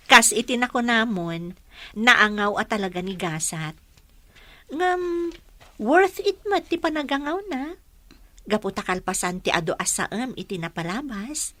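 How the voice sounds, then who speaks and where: An actress playing a gentle, affectionate young mother torn in love in a radio drama. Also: on the high side, expressive, a little slow, long pauses, dry recording. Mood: fond, teasing. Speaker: voice actor in Ilocano radio drama. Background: background music.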